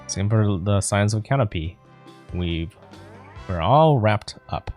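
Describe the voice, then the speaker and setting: An actor playing a terse young warrior in a video game, with a deadpan, curt delivery. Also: male voice, fairly low pitch, takes it slowly, faint music behind. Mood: dry, curt.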